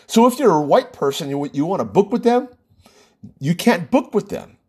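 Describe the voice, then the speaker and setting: An American man with a slightly husky voice holds forth on his own podcast. His pitch sits a bit high and swings widely as he presses his points. He keeps checking in with a rhetorical "right?". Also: darkish tone. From United States. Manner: emphatic, rhetorical, frequent "right?" asides. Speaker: podcast host. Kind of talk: opinionated solo podcast monologue.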